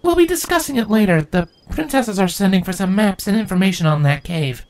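A man voicing a dutiful female changeling queen in a storybook reading, raised in pitch, measured and formal. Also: lively intonation, a little mellow. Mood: steady.

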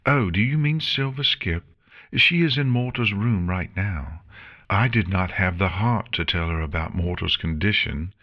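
A man's voice: deep voice, animated, pushed and bright, pitched above the performer's own voice, exaggerated voice. A man voicing a nervous, formal female pony nurse who keeps apologizing. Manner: formal, anxious, quick to apologize.

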